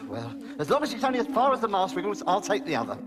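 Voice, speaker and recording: A woman's voice: medium pitch, big pitch swings, fast, close to an everyday voice. A woman voicing a fussy, reluctant talking owl in a fantasy audio drama, hesitant and given to repeating phrases.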